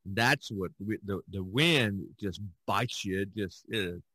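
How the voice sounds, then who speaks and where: An American man hosting a casual podcast chat, speaking in halting, hesitant phrases. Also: lowish voice, sharp tone, no room sound. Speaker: podcast host.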